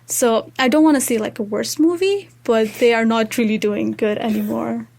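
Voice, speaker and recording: High-voiced young Nepali-accented woman. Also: normal speed, gravelly, darkish tone. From Tarai region of Nepal. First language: Maithili. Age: young adult. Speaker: student interview guest. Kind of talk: casual conversational interview.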